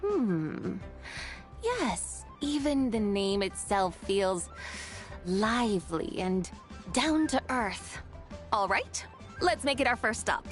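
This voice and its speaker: A woman voicing a serene, dignified immortal adept in a video game, measured, formal and courteous. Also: medium pitch, big pitch swings, soft background music. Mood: pleased, thoughtful. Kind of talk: video game cutscene acting.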